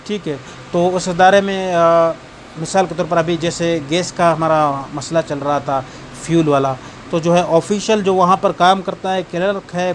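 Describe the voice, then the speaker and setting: A man with a Pakistani accent lecturing for exam students, reading out statutes haltingly and explaining them with everyday examples. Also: high voice, a little hiss or hum. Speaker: law lecturer.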